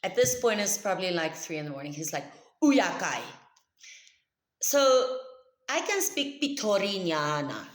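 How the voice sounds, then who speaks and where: A woman with a South African accent whose first language is Afrikaans tells a personal story to camera. She speaks loudly and emphatically in a chatty way. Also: fairly low pitch, big pitch swings, measured pace, long pauses, roomy sound. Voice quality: loud voice. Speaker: vlogger.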